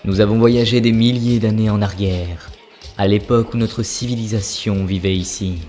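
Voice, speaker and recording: Man voicing a solemn, low-voiced ancient dwarf priest. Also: steady tone, moderate pace, a little mellow, natural voice. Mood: grave, instructive. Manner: solemn, instructive. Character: ancient male dwarf priest spirit, solemn wise guide. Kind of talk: cartoon voice acting, spiritual teaching. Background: background music.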